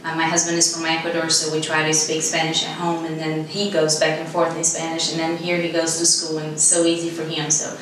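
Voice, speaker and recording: A woman in her thirties with a Brazilian accent and Portuguese as her first language talks conversationally. Her voice is slightly low and evenly pitched, picked up at a distance in an interview setting. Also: completely flat delivery, brisk, few pauses, a bit bright. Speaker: insurance agent. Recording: echoey room, distant mic, clear background noise.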